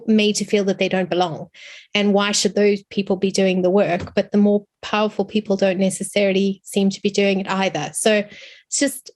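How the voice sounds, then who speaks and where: A woman with a South African accent, slightly hoarse, asking a long, searching academic question in an online seminar. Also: mid-range voice. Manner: searching, restarts often, apologetic. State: hoarse, recovering from illness. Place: online seminar, video call.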